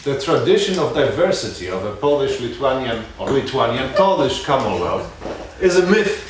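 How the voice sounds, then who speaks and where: A man moderating an academic panel discussion, speaking in a halting way with hesitant pauses. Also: medium pitch, sing-song. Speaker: seminar moderator. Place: university seminar room. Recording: echoey hall, distant mic, background noise.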